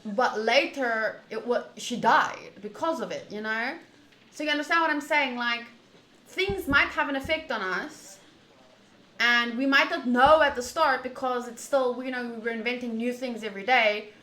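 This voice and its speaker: A woman streaming a visual novel, reading text aloud and thinking out loud in an animated, rambling way. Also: a little high, normal speed. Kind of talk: reading game text aloud with casual commentary. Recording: roomy echo, faint background noise.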